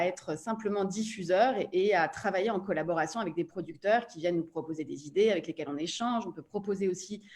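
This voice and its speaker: Low-pitched woman explaining on a panel. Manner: explanatory, hesitant phrasing. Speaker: podcast platform representative, panel guest. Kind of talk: panel discussion.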